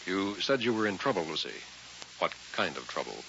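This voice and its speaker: An actor playing an uneasy minister in a vintage radio horror drama, speaking in a deliberate, brooding, hesitant way. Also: male voice, low voice, sing-song, fairly bright tone, a little hiss or hum, heavily acted. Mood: earnest, guarded. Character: uneasy ordained minister narrating his own ghost story. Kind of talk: vintage radio horror drama, first-person narration and dialogue. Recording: dull vintage radio recording with music.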